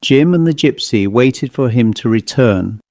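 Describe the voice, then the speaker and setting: Mellow male story narrator. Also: mid-range voice, expressive, measured pace, dry recording, clean studio sound. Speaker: audiobook narrator.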